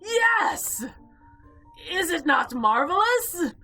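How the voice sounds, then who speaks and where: A woman voicing an imperious, condescending eldritch female being in a visual novel, speaking formally and deliberately. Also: very high voice, big pitch swings, bright, forceful tone, faint music behind, voice pushed up, full character voice. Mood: proudly delighted. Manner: formal, haughty, deliberate speech. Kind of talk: visual novel character voice-over.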